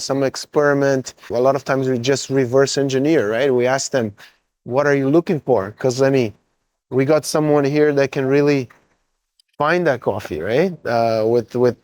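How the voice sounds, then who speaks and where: A man's voice: mid-range voice, a little mellow, no room sound. A man from Brazil with a Brazilian accent, a coffee importer answering interview questions earnestly and often checking in with "right?".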